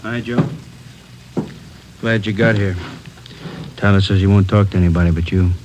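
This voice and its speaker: An actor playing a helpful, plain male police officer in a 1950s radio drama, speaking quietly and evenly. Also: low voice, steady tone, moderate pace, muffled recording, lightly acted. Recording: old radio recording with clear noise.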